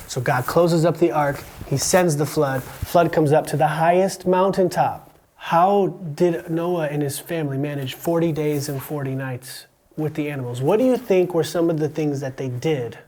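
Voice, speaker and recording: A man's voice: on the high side, a little mellow. American man hosting a student podcast. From Romania. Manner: encouraging, asks quiz questions, jokey. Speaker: podcast host. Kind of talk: casual interview with a student.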